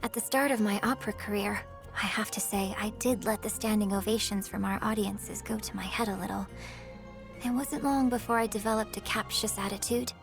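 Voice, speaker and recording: An actress playing a refined, gracious young opera star and troupe director in a video game, speaking in a formal, theatrical manner. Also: female voice, medium pitch, fairly even intonation, fairly quick, creaky, over music, lightly acted. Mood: reflective, rueful. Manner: genteel, theatrical, formal wording. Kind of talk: video game character voice lines.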